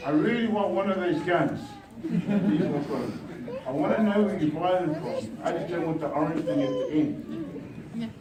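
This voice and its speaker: A male pastor with a high voice preaches a revival sermon, drifting between quiet remarks and rousing calls to the congregation. He sounds distant from the microphone, and the hall rings with echo. Steady background noise sits underneath. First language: Samoan. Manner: rousing, swings from soft asides to shouted exhortation. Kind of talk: revival sermon with bilingual asides. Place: church hall.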